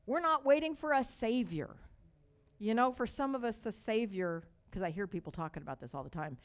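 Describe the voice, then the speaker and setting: An older woman pastor from Texas with a light Texas accent, preaching a sermon with slow, emphatic repetition and storytelling asides. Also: a little high, expressive.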